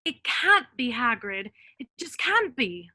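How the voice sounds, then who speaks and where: Woman as Hermione Granger, very bright, insistent girl. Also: a little high, very animated, takes it slowly, heavily acted. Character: Hermione Granger, earnest, loyal, insistent schoolgirl witch. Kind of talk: amateur online table read of a screenplay.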